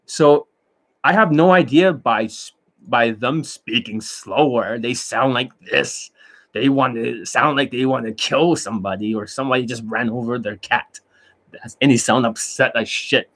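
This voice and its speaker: A man lecturing as a dating coach in a blunt, profane rant, swinging between loud outbursts and low muttering. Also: a little slow. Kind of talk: ranting monologue lecture.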